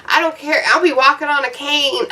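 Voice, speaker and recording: A woman's voice: high voice, fairly even intonation, normal speed, bright, forceful tone, recorded from a distance, poor audio. A woman in her thirties giving casual, blunt, profane advice to her viewers in a loose, rambling vlog rant. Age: 30s. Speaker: YouTuber.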